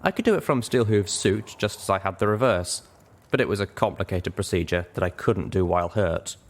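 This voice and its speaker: A man's voice: sits low, fairly quick. A man reading a story aloud in dramatic first-person narration. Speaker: reader of fan fiction.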